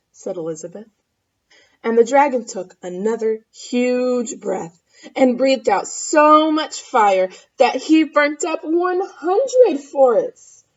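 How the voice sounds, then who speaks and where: A woman's voice: fairly high pitch, a little mellow, lo-fi sound. A woman reading a picture book aloud to children expressively, with asides and questions for the listeners. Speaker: storybook reader.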